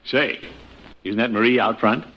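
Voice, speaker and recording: An actor playing a blustery, sheepish middle-aged uncle in a 1950s radio comedy, hesitant and theatrical. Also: male voice, medium pitch, moderate pace, lightly acted. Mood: sheepish, hedging. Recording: muffled, noisy 1950s radio recording.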